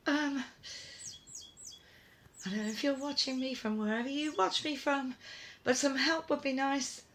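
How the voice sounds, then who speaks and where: A woman's voice: high voice, bright, forceful tone, full character voice. A woman acting a flustered young woman magically whisked around in an ASMR audio roleplay, muttering and exclaiming to herself. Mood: pleading.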